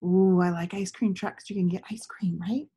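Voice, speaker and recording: A woman's voice: lowish voice, steady tone, normal speed, warm tone, breathy edge. A woman in her thirties reading aloud for young children, teacherly and prompting with questions. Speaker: read-aloud storyteller. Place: small living room indoors.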